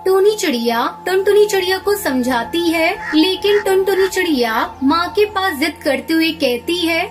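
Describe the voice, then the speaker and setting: A woman's voice: extremely high pitch, animated, moderate pace. A woman narrating a scripted children's cartoon story. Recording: music under the voice.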